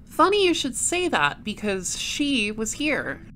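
A woman playing a cool space patrol detective in an audio drama, questioning in a clipped, deadpan way. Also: a little high, slow pace, slight background noise, full character voice. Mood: businesslike. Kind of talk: audio drama dialogue and narration.

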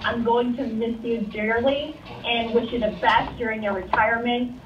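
A woman with a Filipino accent delivers an earnest, emotional farewell. Her voice is somewhat high and muffled, played through a call speaker. The room around it is echoey. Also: fairly even intonation, moderate pace, distant mic, a little hiss or hum. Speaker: coworker giving a tribute. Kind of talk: prepared farewell speech. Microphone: video call on room speakers.

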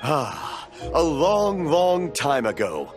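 A man's voice: on the high side, very animated, very slow, over loud music, exaggerated voice. An older man playing a genial, absent-minded old fireworks maker in a video game, rambling theatrically as he tells stories. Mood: reminiscing. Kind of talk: video game storytelling dialogue.

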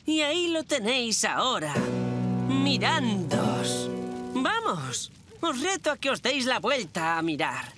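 A performer voicing a mischievous young boy in a dubbed children's cartoon, theatrical and drawn-out in delivery. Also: medium pitch, huge pitch swings, over loud music. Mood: spooky, theatrical. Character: mischievous young boy telling spooky ghost stories.

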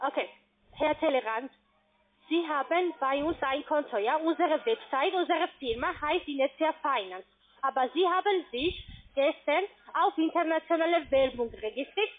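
Woman, high voice, non-native accent, formal sales script, tag questions, tinny telephone audio. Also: normal speed. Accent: foreign. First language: not German. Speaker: call centre sales agent. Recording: narrow-band phone line.

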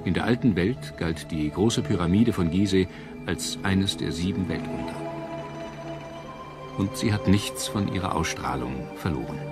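A man reading scripted documentary narration in a measured, solemn manner. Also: sits low, over loud music.

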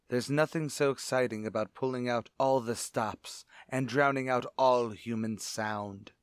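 A man playing a sinister, gloating organist and murderer in an old-time radio suspense play, speaking in a deliberate, theatrical way. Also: medium pitch, clear, resonant tone, no echo, studio quality, natural voice. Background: music.

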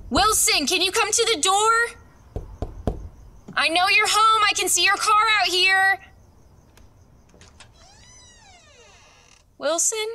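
A woman's voice: very high voice, bright, forceful tone, slight background noise, exaggerated voice. A woman acting in a horror audio drama as a frightened, hesitant woman, with music under her voice. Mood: calling out, anxious. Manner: halting, hesitant delivery. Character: frightened woman recounting a disturbing experience, searching for her dog. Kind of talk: horror audio drama, narration and acted scenes.

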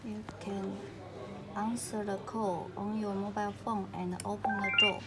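A woman giving step-by-step instructional narration in a halting, simple way of phrasing. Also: mid-range voice, little pitch movement, loud music behind the voice. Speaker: tutorial narrator. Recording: clearly noisy recording with room ambience.

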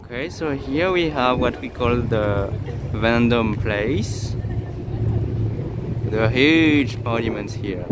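A man's voice: medium pitch, animated, slow, long pauses. A young man with a French accent, chatting and joking through a casual city vlog, filmed on a phone at arm's length outdoors. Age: young adult. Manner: chatty, joking, many fillers and restarts. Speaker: vlogger. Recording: outdoor city noise behind. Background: street noise.

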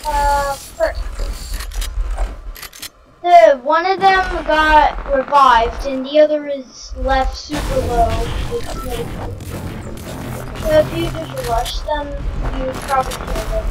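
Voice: high-pitched, slow pace, pauses often, music in the background. Someone chatting casually as a duo partner in an online game. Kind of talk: casual gaming chat. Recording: distant game voice chat, loud game sound.

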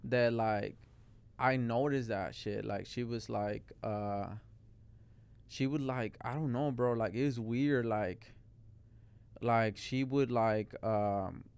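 Casual young male guest from Mexico, clear smooth tone, rambling chat. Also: medium pitch, takes it slowly, a little mellow. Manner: rambling, lots of "like" and "bro". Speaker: guest artist. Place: podcast studio. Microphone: studio mic on boom arm.

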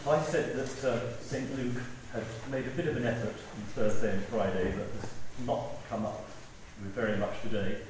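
A man giving an informal, gently humorous anecdotal eulogy at a memorial service. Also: mid-range voice, fairly even intonation, moderate pace, few pauses. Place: large stone church, indoors. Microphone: far camera. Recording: echoey church, distant mic, noisy.

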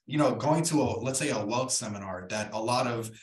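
A man's voice: mid-range voice, fairly quick. Young man, punchy, roomy echo. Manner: punchy, rhetorical questions. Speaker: guest entrepreneur. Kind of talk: persuasive interview talk. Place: room at home. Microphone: studio mic on video call.

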